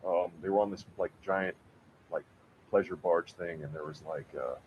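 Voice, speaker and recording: A man chatting casually about history on a podcast, rambling and slangy, with a husky voice. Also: low-pitched, moderate pace, a little hiss or hum. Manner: rambling, slangy, filler-heavy with frequent "like". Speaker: podcast co-host discussing books. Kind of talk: casual chat. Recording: dull, lo-fi audio.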